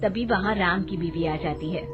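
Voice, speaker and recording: A woman's voice: fairly low pitch, normal speed. A woman reading a scripted story as its narrator. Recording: thin phone-like band, loud music.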